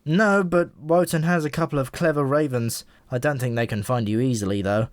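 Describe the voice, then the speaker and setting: A man's voice: mid-range voice, a little fast, dry recording, studio quality, natural voice. A man voicing a chatty, world-weary pigeon in an audiobook, talking in an offhand, frank and matter-of-fact way. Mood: offhand, advising. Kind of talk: audiobook character voice.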